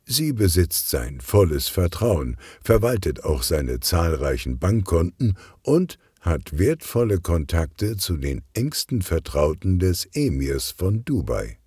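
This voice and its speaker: A man narrating a children's crime story in a measured, expressive storytelling manner. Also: deep voice, husky, no echo, clean studio sound. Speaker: audiobook narrator.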